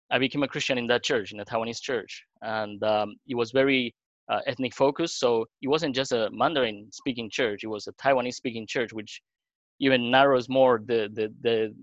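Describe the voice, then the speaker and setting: A middle-aged man from Argentina, a pastor, sharing his story in a halting way with many fillers and restarts. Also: medium pitch, steady tone, fairly bright tone, no echo, muffled recording. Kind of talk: panel talk.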